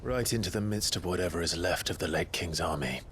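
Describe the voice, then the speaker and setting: An actor playing a brooding, resolute warrior in a video game cutscene, speaking in a hushed, breathy, grave and measured way. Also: male voice, sits low, slight background noise. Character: brooding, resolute warrior, elder brother, weary and earnest. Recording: orchestral game music behind.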